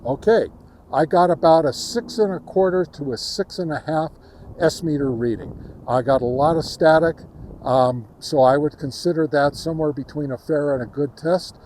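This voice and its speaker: A man presenting an informal field test outdoors, speaking haltingly with many ums. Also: a little high, a little mellow, a little hiss or hum, low-quality recording. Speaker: ham radio video reviewer, host. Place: outdoors in a windy park.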